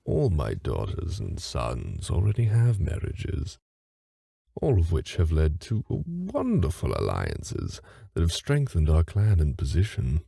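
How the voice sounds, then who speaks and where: Guy doing a haughty cold fae dad for an audio drama, super low dark voice, talks slow n formal. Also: wildly swooping pitch, vocal fry, husky, no room sound, studio quality, exaggerated voice. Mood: stiff, imperious. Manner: haughty, formal, condescending, deliberate. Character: haughty aristocratic fae father, cold clan lord. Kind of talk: dramatic audio roleplay acting.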